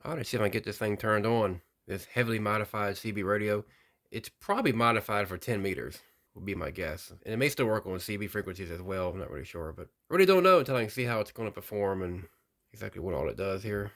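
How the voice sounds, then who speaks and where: Low-pitched man, casual unboxing narration. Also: lively intonation, quick pace, fairly bright tone. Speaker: radio hobbyist video host.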